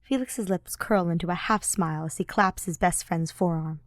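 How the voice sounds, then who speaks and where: A woman's voice: on the low side, normal speed, dry recording, studio quality. A woman reading fiction aloud as a scripted audiobook narrator. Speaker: podfic narrator.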